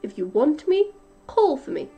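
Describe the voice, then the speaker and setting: A giantess housewife from a storybook, put on by a woman, speaks in a high, emphatic voice. She is brisk, bossy and quick to scold. Music accompanies her. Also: very animated, highs cut off.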